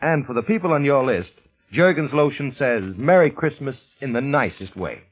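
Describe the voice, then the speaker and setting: A man announcing and reading a 1940s radio commercial in a smooth, persuasive manner. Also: mid-range voice, lively intonation, normal speed. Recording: husky, tinny old broadcast.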